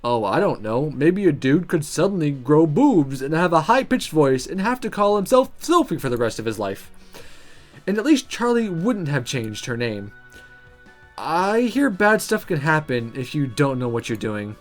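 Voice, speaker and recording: Player as reluctant student heroine, visual novel: dry, sarcastic, cautious, mid-pitched. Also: very animated, moderate pace, faint music behind, close to an everyday voice. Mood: sarcastic, wary. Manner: dry, grumbling, wary.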